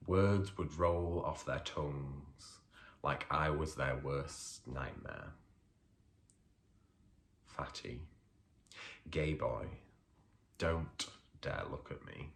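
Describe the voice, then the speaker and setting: A man, working as a poet, gives a hesitant introduction full of fillers and then reads his poems aloud. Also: very deep voice. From Leeds, England. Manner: hesitant, unhurried talk with many fillers. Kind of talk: introduction and poetry reading.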